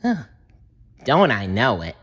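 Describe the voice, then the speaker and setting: A man's voice: mid-range voice, huge pitch swings, slow pace, breathy edge, dull audio, exaggerated voice. A man voicing a friendly, goofy male cucumber character from a children's cartoon. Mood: cheerful.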